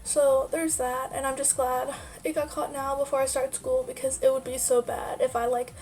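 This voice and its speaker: A woman's voice: high-pitched, flat delivery, normal speed, darkish tone. A young woman vlogger with a stuffy nose from a sinus infection, chatting casually and rambling with many fillers. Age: young adult. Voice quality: nasal. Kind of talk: casual life-update chat.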